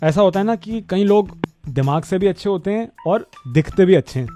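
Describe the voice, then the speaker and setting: A man playing a flattering neighbour who pleads for help on a prank phone call, in a wheedling, repetitive manner. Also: high-pitched, a little fast, faint music behind. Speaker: radio prank caller.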